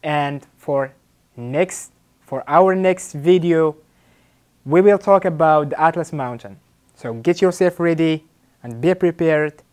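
A man's voice: on the high side, slow pace, a little mellow, clear, resonant tone. A young man from Morocco with a Moroccan accent, presenting a travel vlog to camera and repeating words as he searches for the next one. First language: Arabic. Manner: earnest, repeats words while searching for them. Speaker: travel series host.

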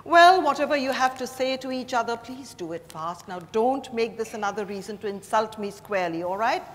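Actress as Indian hostess mother, stage drama, polite but controlling, high voice, long hall reverb. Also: lively intonation, a little fast, natural voice. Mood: firm, curt. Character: genteel, controlling mother hosting a gathering. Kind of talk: dramatized stage reading of a play. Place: large hotel ballroom stage. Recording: echoey hall with room noise.

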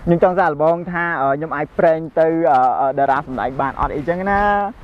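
A man's voice: on the high side, normal speed, dull audio. A young man chatting casually and jokily, as host of a prank-call video, outdoors in a yard. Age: young adult. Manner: jokey, laughs often. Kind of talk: casual chat on a phone call and to camera. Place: outdoors in a grassy rural yard. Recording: steady outdoor background noise.

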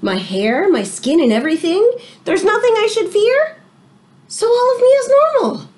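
Anxious small boy for rhyming picture book played by a woman: high, wildly varied pitch, dramatic, easily horrified. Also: lively intonation, a little mellow. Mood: surprised, relieved. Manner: dramatic, drawn-out words, rising alarm.

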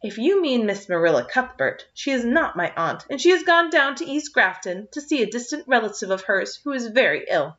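A woman's voice: mid-range voice, lively intonation, normal speed, fairly bright tone, close to an everyday voice. A woman doing a dignified, earnest young girl. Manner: dignified, firm. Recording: slightly dull lo-fi recording.

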